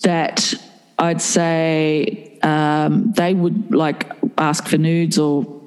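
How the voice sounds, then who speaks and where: A woman's voice: deep voice, flat delivery, very slow, a little mellow, clean tone, long reverb tail, low-quality recording. A woman in her fifties talking frankly and bluntly in a candid interview, swearing casually. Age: 50s.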